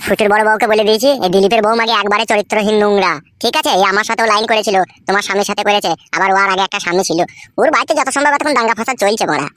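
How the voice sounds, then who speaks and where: A woman's voice: mid-range voice, very fast, fairly bright tone, dry recording, close to an everyday voice. A woman voicing a boastful, lecherous, foul-mouthed bachelor who schemes in a comedy cartoon. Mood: gloating. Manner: crude, swaggering, foul-mouthed.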